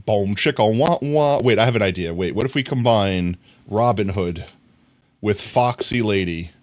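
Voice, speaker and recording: A man streaming a crafting game live, talking to his chat and thinking out loud, with sudden excited high yelps. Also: moderate pace.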